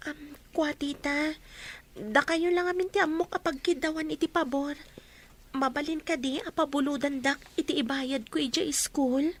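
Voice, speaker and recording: A woman's voice: high-pitched, steady tone, moderate pace, natural voice. An actress playing a young woman student in a radio drama, pleading and hurt. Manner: pleading, tearful. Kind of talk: Ilocano radio drama acting.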